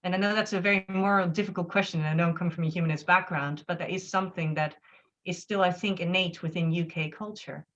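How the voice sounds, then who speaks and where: A woman's voice: fairly low pitch, fairly even intonation, fast talker, a bit bright. A woman with a Dutch accent, a native Dutch speaker, asking a panel a moral question in a direct, earnest way over a video call. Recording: dull lo-fi audio.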